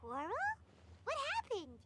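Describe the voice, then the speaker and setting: A woman voicing a tiny, childlike fairy companion in an anime game, excitable and blunt, with a squeaky voice. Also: measured pace, very noisy, heavily acted. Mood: curious. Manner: refers to self in third person, exclamatory.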